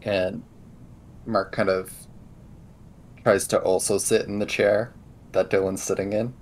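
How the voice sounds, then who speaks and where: Casting: male tabletop role-player, low voice, recorded with rumbling background noise. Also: little pitch movement, a little slow. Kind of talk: narrating and role-playing in a tabletop game.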